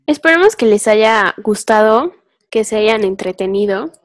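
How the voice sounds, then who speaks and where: A woman's voice: mid-range voice, expressive, measured pace. A young woman, co-hosting a podcast and rambling through a casual philosophical chat, thinking aloud with many fillers. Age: young adult. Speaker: podcast co-host.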